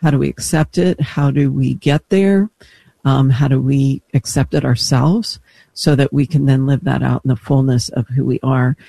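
A man hosting a religious talk show in a reflective, explanatory, conversational way with frequent pauses. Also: mid-range voice, fairly even intonation, gravelly, warm tone, dry recording. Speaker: radio show host.

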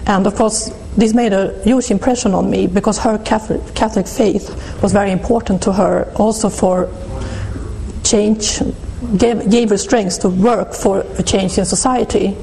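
A Swedish woman in her fifties with a Swedish accent, speaking as an interview guest and recounting her life in a hesitant, halting way with many restarts. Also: mid-range voice, steady tone, gravelly, dark tone, slight background noise, low-quality recording. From Sweden. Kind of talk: reflective personal testimony.

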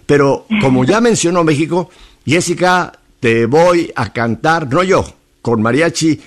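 A man's voice: on the high side, measured pace. A man hosting a radio interview, effusive and chatty, full of religious asides. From Mexico. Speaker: radio host.

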